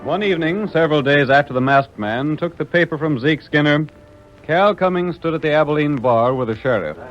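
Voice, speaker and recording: A man's voice: on the high side, expressive, fairly quick, close to an everyday voice. A man acting as the grand, dramatic narrator of a Western adventure serial in 1930s announcer style. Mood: storytelling. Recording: dull old radio, music behind.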